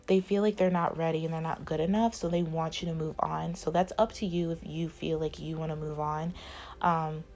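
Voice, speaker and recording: A woman's voice: low-pitched, steady tone, brisk, a little mellow. Rambling woman reading tarot, fading voice. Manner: rambling, many filler likes. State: losing her voice. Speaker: tarot reader. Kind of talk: casual tarot reading monologue.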